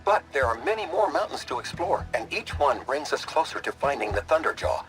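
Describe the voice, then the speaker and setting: A man playing a self-important scholar and DJ, a wry mentor in an animated video game, speaking in theatrical, flowery phrases. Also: on the high side, fairly quick, low-quality recording. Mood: pompous, wry. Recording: music behind the voice.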